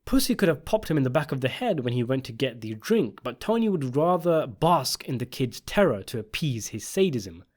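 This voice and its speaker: A man's voice: medium pitch, big pitch swings, moderate pace, few pauses, darkish tone. A man narrating a scripted video essay, giving opinionated commentary on a television drama.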